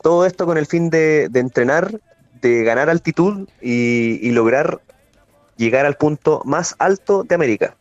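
Chilean man, slightly high, muffled sound. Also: lively intonation, normal speed, no room sound, faint music behind. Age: 30s. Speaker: interview guest, Paralympic athlete. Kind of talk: conversational radio interview answers.

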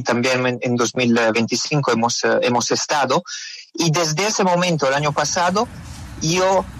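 A man's voice: on the high side, a bit bright, dry recording, rough recording. A middle-aged man from Italy speaking Spanish with an Italian accent as a radio interview guest, hesitant and full of fillers and restarts. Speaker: interview guest, pizzeria owner.

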